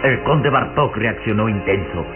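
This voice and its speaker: A man as a solemn, deliberate narrator of an old radio drama. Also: lowish voice, lively intonation, lightly acted. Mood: solemn, suspenseful. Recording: muffled old radio recording. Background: dramatic music.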